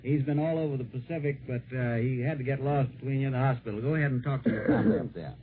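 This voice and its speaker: A man working as a radio war correspondent, hosting a live 1940s holiday broadcast in an informal, halting way. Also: medium pitch. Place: radio studio at a naval base. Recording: tinny band-limited 1940s radio broadcast.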